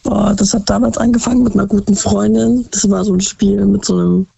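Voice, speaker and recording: A woman calls in from Baden-Württemberg, her voice hoarse and raspy from a cold, with heavy fry. She speaks high for her voice and often gropes for words. The phone line sounds dry and close. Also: fairly even intonation, fairly quick, warm tone, dry recording. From Baden-Württemberg, Germany. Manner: hesitant, searching for words. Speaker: caller. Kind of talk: casual phone-in chat.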